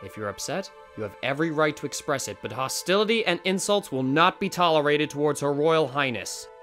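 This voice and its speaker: A man's voice: fairly high pitch, expressive, a little fast, a bit bright, soft background music. A man playing a dutiful, good-natured young stallion guard captain in a radio drama. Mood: stern, official.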